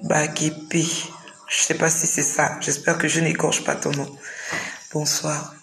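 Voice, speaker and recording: A woman's voice: sits low, flat delivery, a little slow, a bit bright, echoey room, faint music behind. A tired woman with the flu hosting a casual live stream, greeting viewers one by one and repeating her welcomes.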